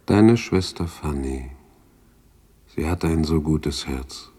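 Very deep, husky spirit guide. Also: male voice, expressive, mellow, exaggerated voice. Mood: solemn, guiding. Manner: slow, measured, solemn. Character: solemn male spirit guide, grave, knowing, commanding. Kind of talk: 1960s German radio drama acting.

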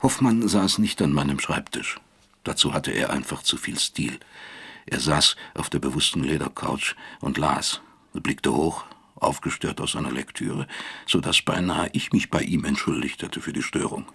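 A man acting a weary, self-accusing professor who recalls his past in a radio drama, speaking deliberately with a gravelly, husky voice. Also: a bass voice, fairly bright tone, full character voice. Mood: sober reflection. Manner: deliberate, reflective, measured. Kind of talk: radio drama first-person narration.

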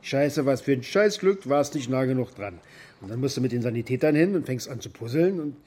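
An actor playing a bitter, middle-aged former security contractor in a naturalistic radio drama, gruff, cynical and colloquial. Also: male voice, mid-range voice, expressive, brisk, mellow.

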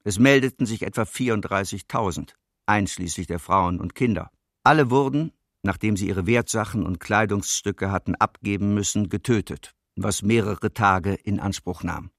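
An actor playing a Nazi German officer in a documentary radio play, reading in a cold, formal, bureaucratic way. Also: male voice, lowish voice, moderate pace, gravelly, a bit bright, dry recording, studio quality. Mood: cold, official.

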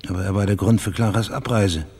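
Low-voiced, cool private detective. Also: male voice, fast talker, darkish tone, slightly rough, soft background music. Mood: probing. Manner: controlled, sardonic, probing. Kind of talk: naturalistic radio crime drama acting.

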